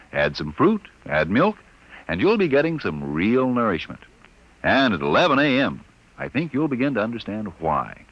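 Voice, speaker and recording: A man reading a folksy radio commercial in a chummy, confiding way. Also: medium pitch. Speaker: commercial announcer. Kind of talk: folksy scripted radio ad read. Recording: muffled 1950 radio broadcast.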